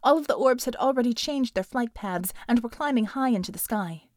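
A woman's voice: on the high side, lively intonation, fairly quick, dry recording, studio quality. A woman narrating a scripted dramatic action story as an audiobook reader.

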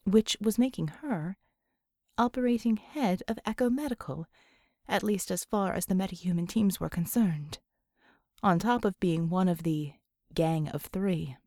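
A woman's voice: lowish voice, measured pace, mellow, dry recording, studio quality. A woman narrating scripted fiction as an audiobook reader.

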